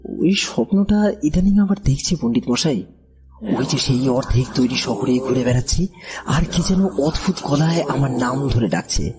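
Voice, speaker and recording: An actor playing a bewildered village trader in an audio horror drama, speaking in an anxious, hesitant, pleading way with a husky, breathy voice. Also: male voice, medium pitch, lively intonation, moderate pace, a little mellow, natural voice. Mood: fearful pleading. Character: frightened, bewildered village trader recounting his strange ordeal. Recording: background music under the voice.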